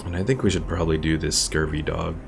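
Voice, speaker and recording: Deep, gravelly male game streamer. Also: fairly even intonation, fast, background noise. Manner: thinking aloud, explaining game mechanics. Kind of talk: casual live gameplay commentary. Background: game music.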